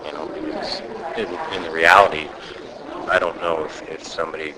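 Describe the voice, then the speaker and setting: A man, an actor, answering interview questions about his film in a halting, low-key way with many fillers. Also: rough recording. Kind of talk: casual press interview. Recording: loud crowd chatter behind.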